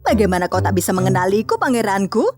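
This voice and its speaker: A woman's voice: mid-range voice, big pitch swings, quick pace, darkish tone. A woman voicing a smug, vain young stepsister in a children's cartoon. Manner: smug, coy. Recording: long reverb with music behind.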